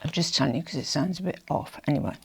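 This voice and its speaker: An older woman with a croaky, hoarse throat, chatting casually to camera as a fashion vlogger, rambling with confiding asides. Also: sits low, fast talker. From England.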